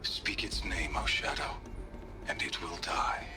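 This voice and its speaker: An actor playing a fanatically obedient male cult devotee in a video game, speaking with hushed, reverent menace. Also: unhurried, whispered. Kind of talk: scripted video game acting. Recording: music and rumble behind.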